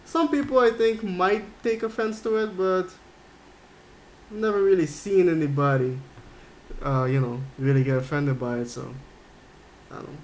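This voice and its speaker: A man's voice: sits high, moderate pace, pauses often, slight background noise, poor audio. A man from Curaçao streaming a game, chatting casually and answering his chat in a rambling way. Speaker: gaming streamer.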